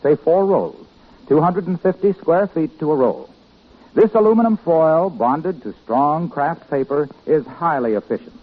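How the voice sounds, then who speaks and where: A man's voice: fairly high pitch, big pitch swings, unhurried. A man announcing for a 1950s radio sponsor in a polished, salesmanlike delivery. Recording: muffled 1950s radio broadcast.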